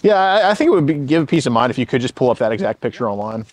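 Loud, blunt young man outside. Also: mid-range voice, very animated, racing. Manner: loud, blunt, hesitant fillers. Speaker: confrontation host. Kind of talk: confrontational questioning. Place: outdoors in a driveway.

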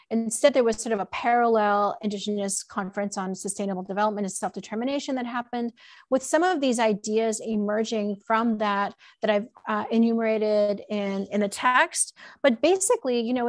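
A woman's voice: fairly high pitch, dry recording. A woman giving a conversational academic talk over a video call, in an informal and frank way. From Alberta, Canada. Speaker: keynote speaker, law professor. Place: indoor room at home, on a video call. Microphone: computer mic on a video call.

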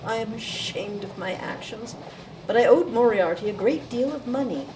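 A woman's voice: a little high, expressive, normal speed, lightly acted. A woman playing a coerced, defensive young actress in an audio drama, earnest and formal in her diction. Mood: ashamed, anxious. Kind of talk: audio theatre acting. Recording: distant mic, background noise.

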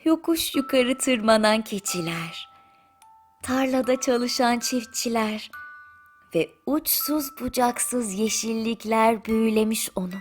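A woman narrating a children's bedtime fairy tale in a gentle, soothing storytelling manner, with deliberate pauses. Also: fairly high pitch. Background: music.

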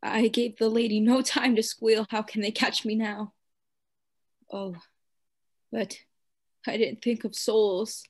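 A woman playing a tormented madman in a radio play, raving and pleading in halting, repetitive phrases. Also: on the high side, level tone. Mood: confessing. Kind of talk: theatrical radio play acting.

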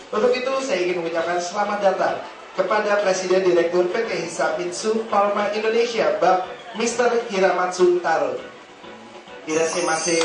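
A man hosting an opening ceremony in a theater hall, upbeat and joking with the crowd. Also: sits high. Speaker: event emcee. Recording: echoey hall, distant mic, music. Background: music playing.

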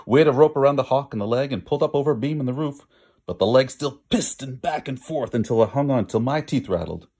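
A man's voice: mid-range voice, animated, quick pace, a little mellow, breathy edge. A man reads a picture book aloud in a theatrical way, drawing out words for emphasis. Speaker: storybook reader.

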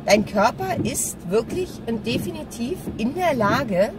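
A woman's voice: a little high, sing-song, measured pace. A woman chatting casually and candidly to her viewers as she drives, in a rambling vlog style. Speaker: vlogger. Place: inside a car while driving. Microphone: camera mounted in front, at a distance. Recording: car cabin, loud driving noise, distant mic.

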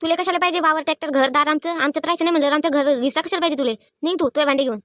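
A sharp-tongued old village mother-in-law from a cartoon comedy, acted by a woman. She scolds and grumbles at breakneck speed in a high, dry-recorded voice with a rural Maharashtrian accent, always aggrieved. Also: no echo. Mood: indignant scolding. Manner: scolding, complaining, rapid-fire. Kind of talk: animated family comedy acting.

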